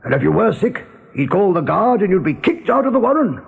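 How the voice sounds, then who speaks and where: Gruff rabbit guard, sardonic storyteller, husky creaky voice, highly animated delivery, radio play. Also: male voice, brisk, exaggerated voice. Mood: grim relish. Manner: colloquial, dramatic, sardonic. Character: gruff male rabbit guard, worldly, grimly relishing storyteller. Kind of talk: radio drama acting.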